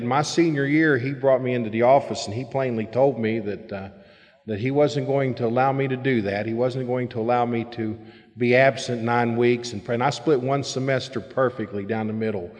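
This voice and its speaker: A middle-aged man speaking candidly at a recovery meeting, telling his story plainly with emphatic bursts. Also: mid-range voice. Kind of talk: personal recovery talk to a meeting. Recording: long hall reverb.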